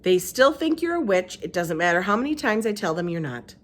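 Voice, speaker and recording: A woman's voice: mid-range voice, very animated, brisk, lightly acted. A woman voicing a feisty, earnest young girl who talks in a blunt, childlike, folksy way. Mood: stubborn.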